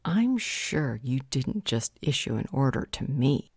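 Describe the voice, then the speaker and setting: A woman plays a strong-willed female vampire in an audio roleplay. Her voice is low and mellow, recorded close and dry, with a measured, thoughtful delivery that has a dry edge of authority. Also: very animated, creaky, husky, dry recording, exaggerated voice. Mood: firm, wry. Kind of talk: roleplay audio drama acting.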